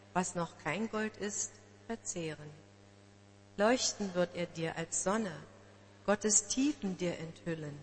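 A woman reciting a religious poem in a slow, measured way. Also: lowish voice, long reverb tail, a little hiss or hum. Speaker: poetry reader.

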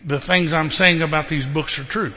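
A man's voice: on the high side, fairly even intonation, normal speed, bright, forceful tone, long reverb tail. A man with a Texan accent preaching at a revival meeting, telling a healing story and joking while he promotes his books and CDs. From Texas. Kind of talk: revival sermon with live interpreter.

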